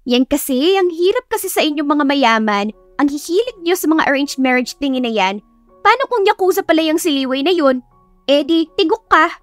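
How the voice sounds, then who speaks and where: A woman's voice: high voice, expressive, normal speed, dry recording, soft background music, voice pushed up. A woman voicing a sassy, blunt young best friend who teases and scolds in an exclamatory way in an audio story. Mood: teasing, nagging.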